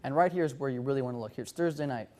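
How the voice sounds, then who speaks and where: A man's voice: medium pitch, very fast, darkish tone. A young man delivering a broadcast weather forecast in an upbeat presenter style, walking viewers through the map. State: slightly hoarse.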